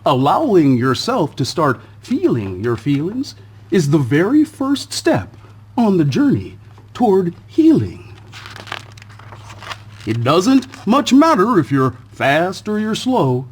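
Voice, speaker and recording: A man voicing a wise old tortoise from a storybook, patient and deliberate as he gives soothing advice. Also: high voice, huge pitch swings, slightly rough, full character voice. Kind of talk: storybook character voice.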